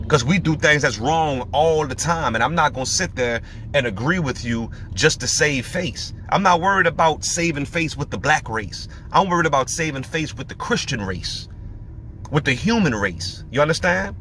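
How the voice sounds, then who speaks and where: A man's voice: on the high side, expressive, normal speed, pushed and bright, a little hiss or hum. Preachy, emphatic male podcast host. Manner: emphatic, preachy, fires rhetorical questions.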